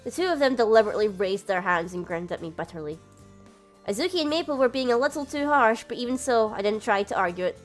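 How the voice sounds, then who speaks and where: A woman's voice: a little high, expressive, fast talker, faint music behind, natural voice. A woman reading a visual novel aloud, voicing a sheepish young man who narrates and argues with his family. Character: young adult man, a flustered older brother who narrates.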